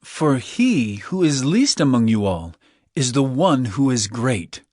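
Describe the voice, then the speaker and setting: A man's voice: mid-range voice, sing-song, slow, darkish tone, dry recording, clean studio sound. A man playing an earnest, authoritative teacher in a dramatized audio Bible, speaking in a declamatory way. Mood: instructing. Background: music.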